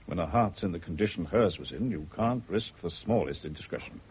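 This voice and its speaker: An actor in a radio drama playing a grave, world-weary family doctor, measured, formal and authoritative. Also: male voice, deep voice, lively intonation, darkish tone, breathy edge, full character voice. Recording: muffled, noisy old radio recording.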